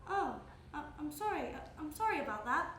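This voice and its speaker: A young woman acting in a live stage play as a gentle, lost woman with no memory, speaking hesitantly and repeating herself. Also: high-pitched, sing-song. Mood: gentle, concerned. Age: young adult. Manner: hesitant, halting, repeats phrases. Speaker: student stage actor. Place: indoor theatre stage, live performance. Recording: roomy live stage recording, background noise.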